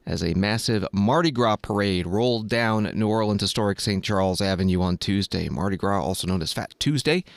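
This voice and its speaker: Low-voiced male news host, dry sound. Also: no room sound. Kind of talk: scripted news reading.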